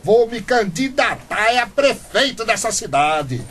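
A man's voice: high voice, big pitch swings, measured pace, fairly bright tone, slight background noise, exaggerated voice. An actor playing a stubborn, indignant small-town man with grandiose political dreams in a Brazilian comic radio soap, blustering theatrically. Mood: boastful.

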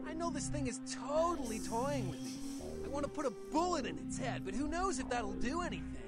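Jittery young American slacker, game character, high voice swinging wildly, excitable and slangy, loud music underneath. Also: male voice, a little fast. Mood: freaked out. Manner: excitable, slangy, nervous rambling. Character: jittery, excitable slacker ghoul, young man, eager and dim. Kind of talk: video game dialogue acting.

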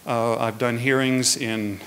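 Man, sixties, medium pitch, measured earnest delivery, prepared talk at a lectern. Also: steady tone, fairly bright tone. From Canada. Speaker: guest speaker, hearing adjudicator. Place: indoors at a lectern in a hall.

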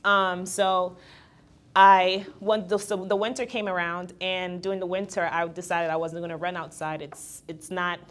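Woman, 20s, trainer guest; low-ish register, open and chatty, animates quoted friends. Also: moderate pace. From Guyana. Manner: candid, laughs easily, quotes others in lively voices. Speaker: guest, fitness trainer and entrepreneur. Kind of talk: casual interview conversation.

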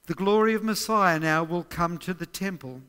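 An older man with an Australian accent giving a sermon on prophecy, reading scripture aloud with measured emphasis. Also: high voice, bright, forceful tone, clean tone. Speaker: preacher. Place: church stage, large indoor hall. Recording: long church hall reverb.

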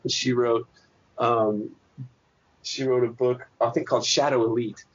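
A man answering interview questions at length in a thoughtful, halting academic way, with frequent restarts and fillers. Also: medium pitch, little pitch movement, breathy edge. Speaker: interview guest, PhD candidate. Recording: dull lo-fi podcast audio.

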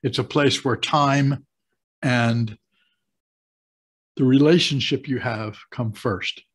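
Husky older American man explaining. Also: mid-range voice, slow pace. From United States. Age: 60s. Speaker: host of a retirement advice channel. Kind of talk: explanatory talk to camera. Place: small home office, indoors. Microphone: webcam video call setup.

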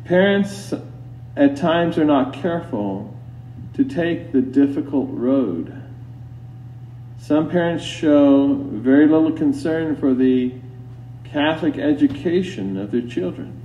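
Slow, solemn male priest preaching, distant and echoey. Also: fairly high pitch, lively intonation, warm tone. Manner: solemn, deliberate, admonishing, with long pauses. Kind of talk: sermon. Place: inside a church. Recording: roomy church, distant mic, dull, faint hum.

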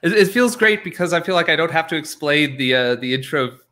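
Bright sounding guy in his 30s hosting a chill chat on a headset, laughs a lot. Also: a little high, lively intonation, fairly quick. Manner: laughing easily, loose and chatty. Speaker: podcast host. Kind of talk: casual chat.

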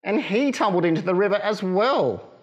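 A man in his thirties reading a picture book to children in a playful, expressive way. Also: high-pitched, moderate pace, muffled recording. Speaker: library storytime reader. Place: small children's library corner, indoors.

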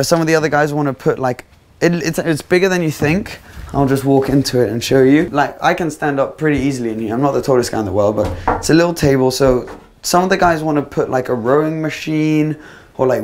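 Chatty young man. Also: on the high side. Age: 20s. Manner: chatty, upbeat and rambling. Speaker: vlogger giving a house tour. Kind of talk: casual walk-through vlog. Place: small rented house and garden, indoors and outdoors. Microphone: small clip-on mic on his shirt.

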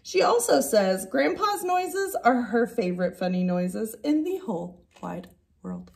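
Female teacher in her thirties for picture-book reading; very animated melody, unhurried, expressive emphasis. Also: a little high, vocal fry. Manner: expressive, drawn-out emphasis on key words. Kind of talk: animated children's story read-aloud. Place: indoor classroom.

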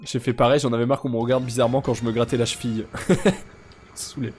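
A young man with a stuffy nose, chatting casually and joking deadpan with viewers while he streams a game. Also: mid-range voice, brisk, husky. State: congested, sick with sinusitis. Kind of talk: casual live-stream chat while gaming.

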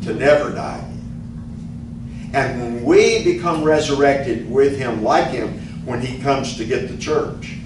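An older male pastor gives an earnest, unhurried Bible teaching at a stand microphone. The room is very echoey, and he sounds a little distant from the mic. Steady background noise hums under his voice. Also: medium pitch. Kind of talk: sermon teaching. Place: church meeting room with band gear. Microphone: stand mic, filmed from a distance.

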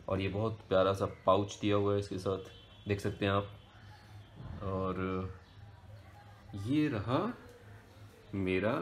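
A man's voice: sits low, slight background noise. A young man chatting casually to viewers as a vlogger. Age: young adult. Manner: chatty, addresses viewers as friends. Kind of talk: casual travel and shopping vlog. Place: street, shop and home.

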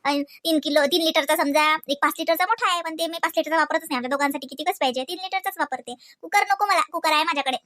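Casting: an actor as a fussy, chatty cartoon village woman, rural Varhadi Marathi accent, rapid bright delivery, nagging colloquial manner. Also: high-pitched. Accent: rural Varhadi Marathi, Vidarbha. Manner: colloquial, nagging, repeats questioning tags. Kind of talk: comedy cartoon dialogue in rural household chat.

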